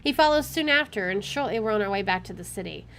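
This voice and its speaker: A woman reading a visual novel aloud as a gamer, expressive and opinionated in her delivery. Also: medium pitch, fast talker, slight background noise. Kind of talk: reading game narration aloud with casual commentary.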